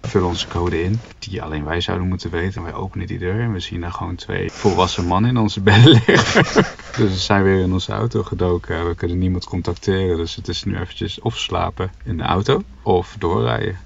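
A man's voice: deep voice, fairly even intonation, fairly quick, creaky, husky, highs cut off. A man in his thirties chatting casually and playfully as a travel vlogger. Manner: playful, joking. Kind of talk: casual vlog chat.

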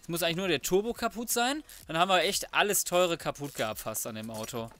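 A man's voice: on the high side, expressive, fast, bright, forceful tone. A man giving casual, colloquial game commentary, muttering to himself as he works through tasks. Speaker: let's play host. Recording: clear background noise, mouse clicks.

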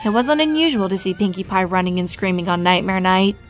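A woman's voice: mid-range voice, expressive, fairly quick. A woman reading a scripted fan fiction story as its narrator. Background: background music.

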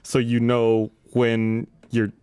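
A man's voice: mid-range voice, monotone, slow, a little mellow, clear, resonant tone, dry recording. A man in his thirties casually talking through gaming news as a podcast host, close on a studio microphone. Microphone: desk studio microphone, close.

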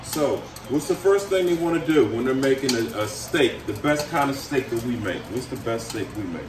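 A man's voice: medium pitch, lively intonation, moderate pace. A middle-aged man casually coaching someone through cooking, with slangy, teasing talk. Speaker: uncle teaching his nephew to cook. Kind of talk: casual instructional home cooking video. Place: home kitchen, indoors. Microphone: camera set a few feet away. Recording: roomy, distant mic, loud sizzling noise. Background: frying sizzle, running water.

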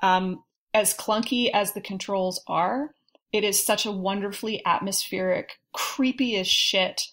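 A woman speaking as a podcast panelist, giving articulate, opinionated and measured critique in a casual discussion. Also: medium pitch, fairly even intonation, fairly bright tone.

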